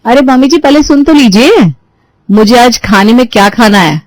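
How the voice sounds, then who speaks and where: This is a young new bride, bold and sharp-tongued, pitched high and pushing hard, with a slyly bossy edge, as a woman storyteller voices her. The sound is dry and close, with no room around it. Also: expressive, normal speed, no echo, close to an everyday voice, rough recording. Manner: indignant, sly teasing.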